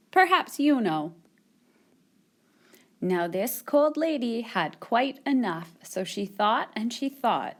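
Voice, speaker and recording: A woman hosting story time for young children, speaking in a sing-song, encouraging way and pausing as if for their answers. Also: medium pitch. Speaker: children's story time host at a public library. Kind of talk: children's storytelling and singing, addressing young listeners. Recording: roomy echo, distant microphone.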